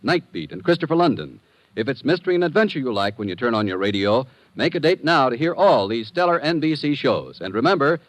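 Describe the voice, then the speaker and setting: A man's voice: medium pitch, no room sound, rough recording. A man with an American accent reading scripted promotions as a polished, emphatic fifties radio announcer. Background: music.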